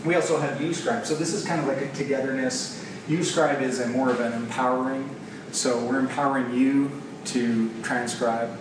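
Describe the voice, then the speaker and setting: A man's voice: mid-range voice, fairly even intonation, normal speed. A man in his thirties with a Canadian accent, giving an informal, explanatory presentation and thinking aloud in a conversational way. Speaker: software developer presenting a product. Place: large open office loft, indoors. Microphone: camera mic a few metres away. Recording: echoey open room, distant camera mic, noisy. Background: clear background room noise.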